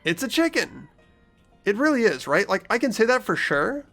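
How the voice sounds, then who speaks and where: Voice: mid-range voice, big pitch swings, moderate pace, fairly bright tone, soft background music, pitched above the performer's own voice. A performer voicing a wary, bewildered teenage schoolboy who questions things incredulously in a fan-voiced game. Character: bewildered, wary teenage schoolboy protagonist, sarcastic inner narrator.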